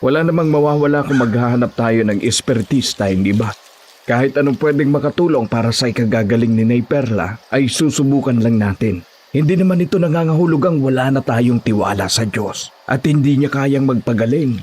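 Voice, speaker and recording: A man playing an earnest, respectful husband seeking help, with a husky voice, in a horror audio drama. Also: mid-range voice, brisk, a little mellow, close to an everyday voice. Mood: firm, persuading.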